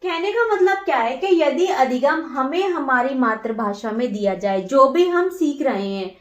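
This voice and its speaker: High-voiced woman dictating exam notes slowly. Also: expressive. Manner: repeats phrases word by word, asks and answers own questions. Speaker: teacher giving exam revision. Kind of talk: slow dictation-style lesson. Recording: echoey room, voice from far off.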